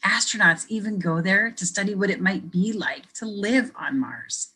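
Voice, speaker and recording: A woman educator reading a picture book aloud to children, pausing to ask them questions in a gentle, expressive way. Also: medium pitch, low-quality recording. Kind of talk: children's read-aloud with teacher commentary.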